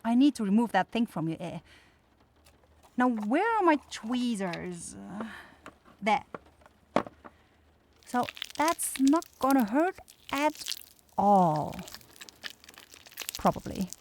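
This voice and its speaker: Woman acting a flustered, high-voiced forest witch. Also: very animated, slow, long pauses, darkish tone, a little hiss or hum, heavily acted. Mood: focused, hesitant. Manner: flustered, hesitant, blurting. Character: flustered young woman forest witch, caring, easily rattled. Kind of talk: ASMR fantasy roleplay acting.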